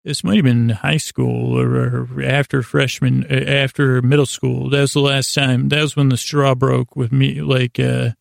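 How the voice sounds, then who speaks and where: An American man telling rambling, improvised stories as a podcast host, halting and often interrupting himself with deadpan whimsy. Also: mid-range voice, no echo, studio quality. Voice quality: gravelly, creaky. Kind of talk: rambling, improvised monologue storytelling.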